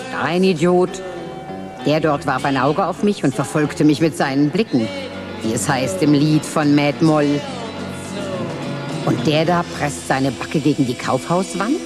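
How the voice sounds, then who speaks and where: A woman's voice: low-pitched, expressive, unhurried. An actress voicing a worldly, cynical mother figure who talks in a dry, wry drawl with whispered asides, over music. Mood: scornful. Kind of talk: radio play acting and first-person narration. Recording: music behind the voice.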